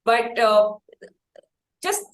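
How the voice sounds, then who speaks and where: An Indian woman hosting a casual chat interview, speaking effusively in long, halting, wordy phrases. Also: on the high side, very animated. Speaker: podcast host interviewing a singer. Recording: roomy echo, faint background noise.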